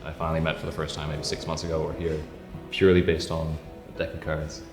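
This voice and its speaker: A man speaking calmly and sincerely. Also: rumbling low, quick pace, echoey room, long reverb tail, over music.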